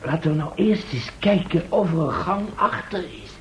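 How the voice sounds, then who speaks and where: An actor in a radio drama playing a cheeky, plucky companion who grumbles bluntly in a creaky voice. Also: male voice, sits high, moderate pace, a bit bright, muffled recording, a little hiss or hum. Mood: matter-of-fact. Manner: blunt, complaining.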